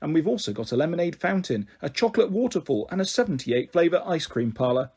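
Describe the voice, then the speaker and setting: A man voicing a cheeky, eager male friend in a storybook reading, with a breathy voice. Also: on the high side, sing-song, fast, a little mellow, full character voice. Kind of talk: reading a children's book aloud.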